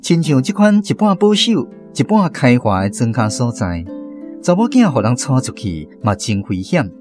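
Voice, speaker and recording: A man with a Taiwanese accent narrates a scripted story in a folksy way. His delivery is lively, with wide swings in pitch, over faint plucked-string music. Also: moderate pace. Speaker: narrator of a radio drama.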